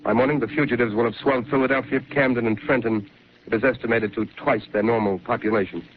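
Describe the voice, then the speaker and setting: An actor playing a grave radio announcer reading urgent bulletins in a 1930s radio drama. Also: male voice, mid-range voice, steady tone, natural voice. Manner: rapid, urgent news delivery. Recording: tinny 1930s radio broadcast with hiss.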